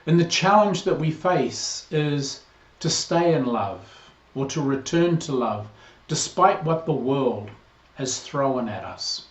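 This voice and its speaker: A man in his sixties with a New Zealand accent, a priest giving a measured, earnest greeting to a parish. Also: medium pitch, lively intonation. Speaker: priest, incoming interim rector. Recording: roomy, slightly dull recording.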